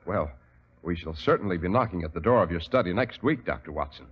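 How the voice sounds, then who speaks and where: Casting: 1940s male radio announcer, lowish and lively, dull vintage broadcast sound. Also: fast talker, slightly rough. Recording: muffled vintage radio recording.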